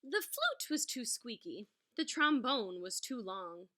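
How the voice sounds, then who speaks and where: A woman reading a picture book aloud as a teacher, adding expressive explanatory asides for young children. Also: a little high, takes it slowly.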